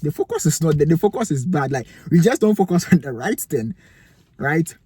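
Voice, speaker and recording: A man's voice: on the high side, expressive, fairly quick, darkish tone, breathy edge, dry recording. A young man with a Ghanaian accent giving emphatic motivational advice to camera, asking rhetorical questions, indoors in a cold room. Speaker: vlogger giving life advice.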